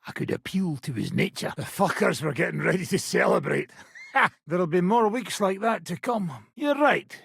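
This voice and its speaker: An actor playing a gruff, brash, middle-aged medieval mercenary nobleman in a video game, with a raspy, gravelly voice. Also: high-pitched, expressive, normal speed, a bit bright, no room sound, clean studio sound, full character voice. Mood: jovial, boisterous. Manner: brash, sardonic, swearing.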